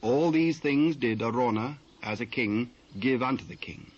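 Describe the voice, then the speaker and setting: A man with a British accent narrating dramatised scripture in a measured, formal manner. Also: medium pitch, a little mellow. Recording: muffled old cassette transfer.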